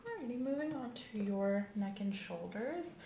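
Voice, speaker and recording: A woman's voice: on the high side, lively intonation, normal speed, vocal fry, warm tone, roomy sound, a little hiss or hum. A woman doing an ASMR medical exam roleplay as an examiner, methodical and professional in the way she questions a patient.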